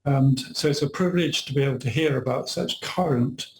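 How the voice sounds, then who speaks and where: A man's voice: medium pitch, brisk, low-quality recording. A man chairing a society lecture who introduces the speaker in a polite, formal, courteous way. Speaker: event chair. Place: lecture room with audience.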